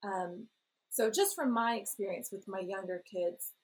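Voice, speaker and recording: A woman's voice: mid-range voice, a little slow, rough recording. A woman in her thirties, a parent and blogger, talking in a rambling, self-deprecating way and laughing often. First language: English. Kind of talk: relaxed conversational interview.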